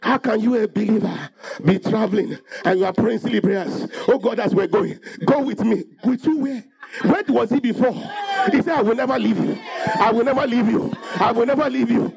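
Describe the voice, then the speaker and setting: Guy preaching a fired up sermon, really high pitched raspy creaky voice, repeats his lines for emphasis. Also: brisk, a little mellow, rough recording. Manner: emphatic, declarative, repeating phrases for effect.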